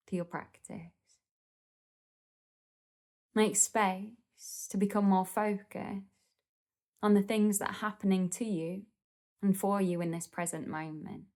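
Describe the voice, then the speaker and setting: A woman leading a guided meditation in a gentle, soothing, unhurried manner with pauses between phrases. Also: medium pitch.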